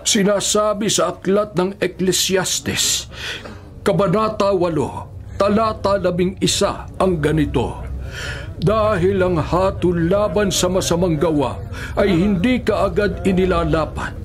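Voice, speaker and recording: A man's voice: high-pitched, measured pace. An actor playing an elderly, forgiving male prisoner who preaches earnestly and patiently in a radio drama. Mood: solemn preaching.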